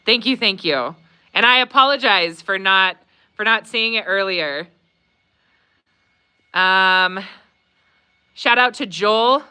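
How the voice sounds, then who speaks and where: A woman's voice: medium pitch, slow pace, long pauses, clear, resonant tone. A woman hosting a live Q&A stream, chatting casually with many fillers, laughing often and reading viewer comments aloud. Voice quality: warm, conversational, many fillers.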